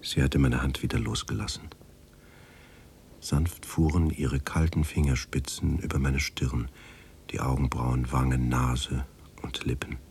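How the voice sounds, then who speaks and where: An actor playing a grave man remembering his boyhood, narrating a German radio drama in a measured, restrained, hushed way. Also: level tone, vocal fry, full character voice. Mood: grave, reflective. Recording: echoey room with low rumbling noise.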